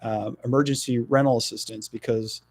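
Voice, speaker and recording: A middle-aged man from New Jersey, a political candidate talking in a radio interview, earnest and halting with frequent fillers. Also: mid-range voice, steady tone, darkish tone, no echo.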